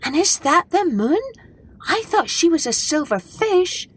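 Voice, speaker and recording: A woman's voice: very high voice, very animated, measured pace, fairly bright tone, voice pushed up, exaggerated voice. A woman voicing a tiny, naive, yearning goldfish in a storybook, full of wide-eyed, eager questions. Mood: wondering.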